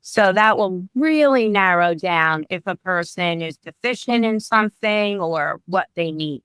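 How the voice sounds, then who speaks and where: A woman's voice: lowish voice, expressive, a little slow, a bit bright, smooth, dry recording. A woman in her fifties, a wellness coach talking as a guest in a podcast interview, chatty and often restarting her sentences. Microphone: remote call.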